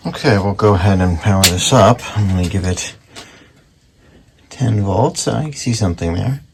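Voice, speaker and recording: A man casually narrating hands-on repair work in a low-key way, thinking aloud as he goes at a workbench. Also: creaky, a little hiss or hum. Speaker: host, antique radio repairer. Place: indoor workshop at a workbench.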